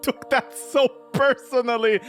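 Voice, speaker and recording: A man's voice: very high voice, fairly even intonation, a little slow, fairly bright tone, faint music behind. A man giving sarcastic, incredulous playthrough commentary on a game, casually swearing as he reacts. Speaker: gaming video host.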